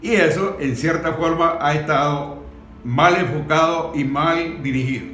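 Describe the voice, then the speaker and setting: A man's voice: a little high, measured pace, over music. A man with a Venezuelan accent making an earnest argument in a discussion, building emphatic, repetitive lists. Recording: echoey room.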